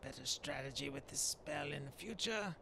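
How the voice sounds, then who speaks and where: A man voicing a proud, stubborn male dark elf shaman in a fantasy game roleplay, musing haltingly in formal, old-fashioned phrasing. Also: mid-range voice, animated, creaky, noisy background. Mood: watchful, musing. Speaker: gameplay host roleplaying in character. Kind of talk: improvised in-character fantasy game narration.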